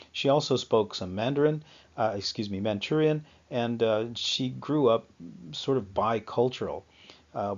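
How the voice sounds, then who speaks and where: A man giving an unscripted lecture on music history, often pausing with fillers and correcting himself. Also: fairly low pitch. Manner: halting, frequent fillers and self-corrections. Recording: slightly dull lo-fi sound.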